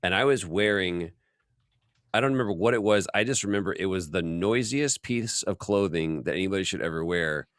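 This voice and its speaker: Deep-voiced man hosting casually. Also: brisk, fairly bright tone, smooth, dry recording, clean studio sound. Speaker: host. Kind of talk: casual workshop interview.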